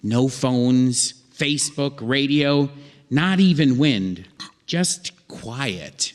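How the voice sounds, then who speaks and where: A man narrating a scripted comic story on stage in an old-time radio show, deliberately and with dramatic pauses. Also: medium pitch. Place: live theatre stage. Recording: theatre hall reverb, faint noise.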